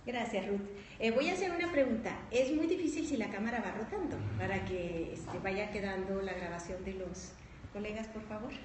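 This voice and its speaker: A woman facilitating a workshop informally, courteous and thankful. Her voice sits at an average pitch and comes from far off in a very echoey, noisy room. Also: normal speed. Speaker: workshop leader. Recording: echoey room, distant mic, background noise.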